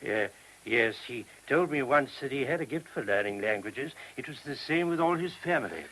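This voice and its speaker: Mild, husky-voiced monk. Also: male voice, mid-range voice, lively intonation, moderate pace, a bit bright. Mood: careful, explanatory. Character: mild, obliging monk priest, Father Anselm. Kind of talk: British radio play acting. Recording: old radio drama recording.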